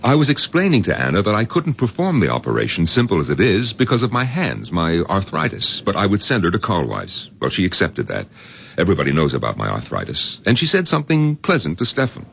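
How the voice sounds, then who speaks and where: A man's voice: deep voice, huge pitch swings, brisk, gravelly, a bit bright, full character voice. An actor playing a proud, exasperated village doctor in an old radio drama, emphatic and indignant as he justifies himself. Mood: defensive. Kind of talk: 1970s radio drama acting. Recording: muffled, noisy old radio recording.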